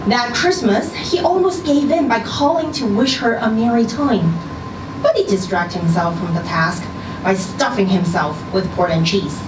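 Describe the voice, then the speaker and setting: A woman reading a short story aloud at a live event, with measured, expressive storytelling. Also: a little high. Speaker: story reader. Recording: roomy, distant, noisy live event recording.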